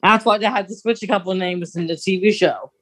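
A woman talks as a podcast co-host in an informal, conversational style. Her pitch sits in the middle range, and her delivery is playful and giggly. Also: quick pace. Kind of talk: casual chat about a radio drama.

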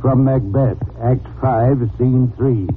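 A lofty, erudite detective played by a male actor from 1940s radio drama. He speaks in a mid-pitched voice with dry, pompous scorn. The sound is thin and lightly hissy. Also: steady tone, slow, natural voice. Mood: sardonic. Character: haughty, sardonic genius male detective. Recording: scratchy, narrow-band old radio.

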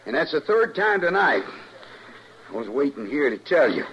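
An actor playing a shifty, indignant cattle trader in a radio western, defensive and whining. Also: male voice, on the high side, very animated, normal speed, fairly bright tone. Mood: accusing, evasive. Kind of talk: old-time radio western acting. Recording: muffled vintage radio recording with faint noise.

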